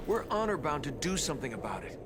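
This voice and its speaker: Expressive, resolute young turtle leader. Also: male voice, on the high side, quick pace, background noise. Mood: determined. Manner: dutiful, commanding. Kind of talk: video game character acting.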